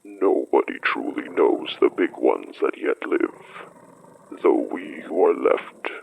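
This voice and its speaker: An actor playing an ancient giant beast spirit in a horror audio drama, cryptic and predatory, with a growling, hissing voice and archaic phrasing. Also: male voice, lively intonation, narrow, tinny audio, full character voice. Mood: darkly amused, cryptic. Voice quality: deep, gravelly growl with breathy hissing. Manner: slow, archaic, ominous phrasing.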